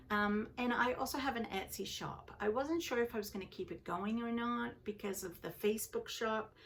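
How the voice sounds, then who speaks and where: An older woman from England with a softened Liverpool accent, hosting a knitting podcast from home and chatting casually with the viewer. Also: mid-range voice, creaky, slight background noise. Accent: Canadian-influenced English, originally from Liverpool. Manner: chatty, rambling, self-deprecating. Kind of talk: casual chat to camera. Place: home, seated indoors.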